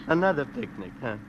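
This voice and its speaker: An actor playing a bossy, mocking killer on the run in a 1940s radio drama, curt and sneering. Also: male voice, on the high side, fairly bright tone, muffled recording, exaggerated voice. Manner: curt, barking orders, sneering. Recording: vintage 1940s radio, faint vehicle noise.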